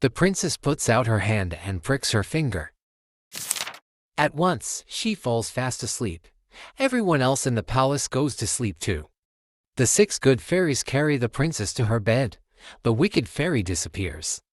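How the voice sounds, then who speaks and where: A man reads a children's story aloud as a storytime host. His pitch moves up and down in a lively way, and the sound is dry and close. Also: no room sound. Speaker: storytime channel host reading children's books.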